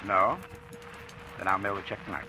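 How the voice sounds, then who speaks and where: An actor playing a smooth, menacing blackmailer who is mockingly polite and taunting, in a 1940s radio drama style. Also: male voice, low-pitched, level tone, pushed and bright, rough recording. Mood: coldly calculating. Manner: suave, taunting, deliberate drawn-out politeness. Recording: old radio drama recording, music underneath.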